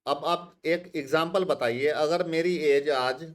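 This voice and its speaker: A man in his fifties teaches math slowly and patiently. His voice sits a little high for a man. He stops often to ask his students questions and repeats their answers back to them. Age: 50s. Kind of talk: classroom lecture mixing Hindi and English.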